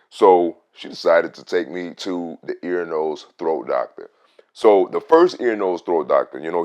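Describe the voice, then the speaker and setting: A man with a very deep, rich voice, a radio host telling a casual story about his own voice. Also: lo-fi sound. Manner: animated, swings loud on emphasis.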